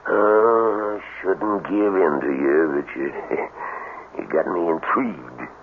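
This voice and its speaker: A male actor playing a gruff, folksy older country doctor with a Southern US accent in a radio drama. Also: deep voice, slow pace, phone-quality sound. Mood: businesslike. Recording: thin, hissy old radio broadcast.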